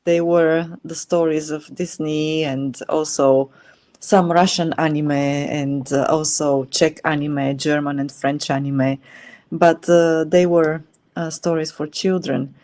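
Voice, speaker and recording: Woman, middle-aged, fairly low pitch, warm relaxed tone, thoughtful, hesitant interviewer. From Bulgaria. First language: Bulgarian. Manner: thoughtful, many filler pauses. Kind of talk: casual conversation.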